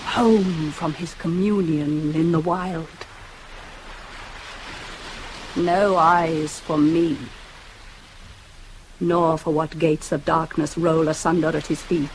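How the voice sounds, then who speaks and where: An actress playing a proud, vengeful goddess in a classical verse radio drama, speaking in a solemn, deliberate, declamatory way on a hissy old cassette. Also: female voice, on the low side, a little mellow, poor audio. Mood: ominous scorn. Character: vengeful proud goddess, coldly plotting a mortal's death.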